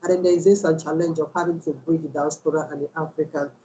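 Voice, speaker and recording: Host, mellow dark tone, faint background hiss, halting delivery. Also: medium pitch, steady tone, dull audio. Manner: hesitant, stammers and repeats "all right?". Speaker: interview host. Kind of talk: interview questions.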